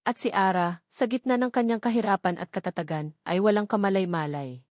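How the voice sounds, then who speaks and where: A woman's voice: medium pitch, brisk, a little mellow, no echo. Woman narrating a dramatic love story, dry sound.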